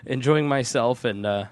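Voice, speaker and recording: A man hosting a casual podcast chat, hoarse after losing his voice and loopy and giggly from cough medicine. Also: medium pitch, slow, dry recording. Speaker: podcast host.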